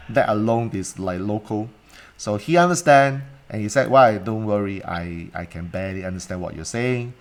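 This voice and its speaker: A man, a coach talking alone to viewers in a livestream, asking rhetorical questions and repeating points. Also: on the low side. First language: Cantonese. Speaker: life coach. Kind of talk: solo livestream talk.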